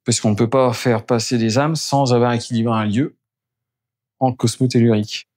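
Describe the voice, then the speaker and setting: A man's voice: mid-range voice, fairly even intonation, normal speed, darkish tone, no room sound, clean studio sound. A man working as a geobiologist, walking through a house and explaining each step of his work to the camera. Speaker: geobiologist doing an energy clearing. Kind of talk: on-the-spot demonstration with explanation. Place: indoors in a house, moving between rooms.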